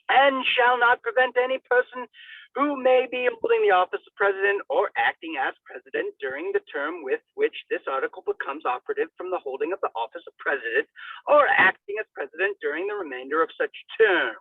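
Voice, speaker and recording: A man's voice: sits high, animated, a little fast, dry recording. A man giving a theatrical, declamatory reading of a formal document, with sudden bursts of emphasis. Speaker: reader of a legal document. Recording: thin phone-like radio sound, distant mic.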